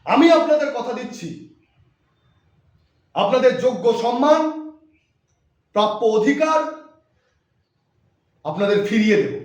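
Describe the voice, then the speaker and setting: The recording rings with heavy echo around a righteous, indignant politician acted by a man. His voice sits extremely high for a male. He delivers a theatrical campaign monologue in emphatic, oratorical phrases, as if rallying wronged voters. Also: lively intonation, a little slow, long pauses. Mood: defiant, rousing. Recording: very echoey, reverberant room sound.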